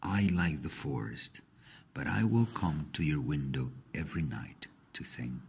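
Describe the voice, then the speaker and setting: A man voicing a small, gentle, free-spirited male nightingale in a storybook, kindly and assured. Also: rumbling low, lively intonation, a little slow, creaky, breathy edge, exaggerated voice. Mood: gentle, firm.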